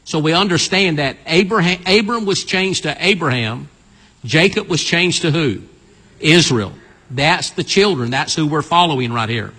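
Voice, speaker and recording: A man's voice: on the high side, expressive, moderate pace, sharp tone, slightly rough. A man, preaching a sermon and calling on the congregation to answer back. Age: 60s. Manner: call-and-response preaching, urging the congregation. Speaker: pastor. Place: church sanctuary. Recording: long hall reverb.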